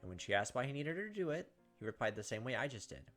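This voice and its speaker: A man's voice: mid-range voice, big pitch swings, fast talker, a bit bright, faint music behind. A young man doing casual game commentary and reading story narration aloud. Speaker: gaming video host.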